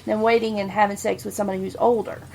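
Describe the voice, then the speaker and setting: A woman's voice: mid-range voice, little pitch movement, rapid-fire, vocal fry, a little mellow, a little hiss or hum, poor audio. A woman co-hosting a podcast, talking frankly and laughing often. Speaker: podcast co-host. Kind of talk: casual podcast chat.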